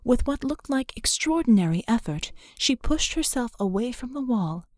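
A woman's voice: on the high side, sing-song, normal speed, darkish tone, dry recording, clean studio sound. A woman reading dramatic fiction as an audiobook narrator.

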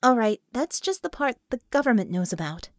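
Audiobook role: savvy woman mage, played by female narrator, frank, matter-of-fact, fairly high voice, dry sound. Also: big pitch swings, a little fast, dry recording. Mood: explanatory.

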